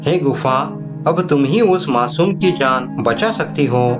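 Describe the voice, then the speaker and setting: A man's voice: mid-range voice, lively intonation, measured pace, loud music behind the voice, close to an everyday voice. A man voicing a kind but commanding vulture king in a cartoon moral story, speaking earnestly in formal, declamatory lines. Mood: pleading.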